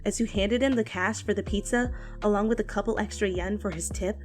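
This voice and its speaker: A woman's voice: mid-range voice, fairly even intonation, fairly quick, a little mellow. A woman narrating fan fiction as a scripted dramatic reading. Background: music.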